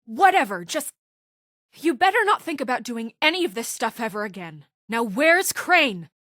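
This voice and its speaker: A woman voicing a brisk, authoritative, no-nonsense woman administrator under pressure in a video game, crisp, earnest and firm. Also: on the high side, sing-song, a bit bright, no echo, clean studio sound. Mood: angry, exasperated. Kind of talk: naturalistic video game voice acting.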